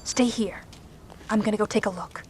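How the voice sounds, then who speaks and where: An actress playing a wary, resolute leader of fugitives in an audio drama, breathy, hushed and terse. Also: female voice, medium pitch, steady tone, moderate pace, background noise, natural voice. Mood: cautious, hushed.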